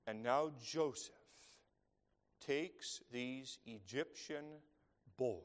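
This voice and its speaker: Guy preaching real slow, lots of pauses, big echoey hall sound. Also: medium pitch. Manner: slow, measured phrasing with long dramatic pauses. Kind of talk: sermon. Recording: long reverb of a large hall.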